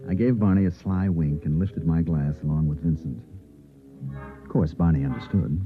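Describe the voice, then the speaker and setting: A man's voice: very deep voice, normal speed. An actor playing a sly man with a secret, narrating and talking in a 1950s radio drama. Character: sly man secretly one of an alien group. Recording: phone-like old radio transcription with music behind.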